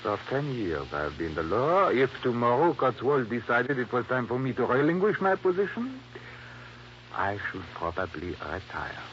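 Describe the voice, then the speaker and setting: An actor playing a philosophical, evasive police inspector with a measured, insinuating manner in an old radio drama. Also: male voice, mid-range voice, very animated, fairly bright tone. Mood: sly, cautious. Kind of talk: 1950s radio drama acting. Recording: muffled, noisy old radio recording.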